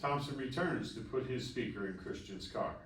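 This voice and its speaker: A man's voice: mid-range voice, normal speed. Man reading stage directions, echoey and distant. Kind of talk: scripted narration at a live table read. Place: live event room before an audience. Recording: echoey room, distant mic, faint noise.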